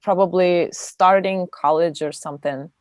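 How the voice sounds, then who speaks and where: A woman's voice: fairly even intonation, normal speed, dry recording, clean studio sound. A woman in her thirties hosting an interview, reminiscing about her lessons and laughing often as she talks. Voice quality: low voice. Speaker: interviewer, host. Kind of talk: casual interview chat.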